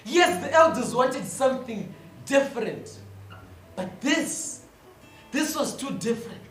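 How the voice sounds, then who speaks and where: A woman tells a fable in a high, deliberate voice, pausing for dramatic weight. She speaks slowly, as a stage storyteller would. The recording is very echoey, caught from far off with music behind. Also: expressive. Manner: dramatic, deliberate, with repetition and long pauses. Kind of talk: theatrical scripted narration of a fable.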